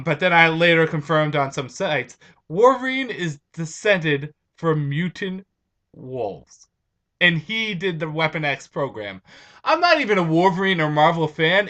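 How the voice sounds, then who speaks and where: Young man, bright voice, a little raspy, stuffed-up, rambling casual vlog chat. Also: a little high. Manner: rambling, restarts. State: stuffed up from allergies. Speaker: vlogger. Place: at home.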